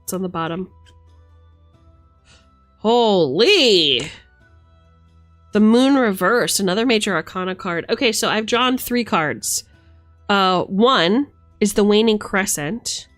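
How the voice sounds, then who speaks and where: Slow-talking woman streamer over music. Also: mid-range voice, animated. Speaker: streamer giving a tarot card reading. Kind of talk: casual live tarot reading, chatty. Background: background music.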